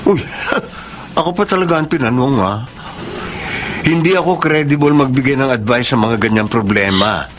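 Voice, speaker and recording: An actor playing a casual, teasing uncle who acts as confidant in a Tagalog radio drama. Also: male voice, mid-range voice, moderate pace, a bit bright, a little hiss or hum, close to an everyday voice. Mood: amused, calming.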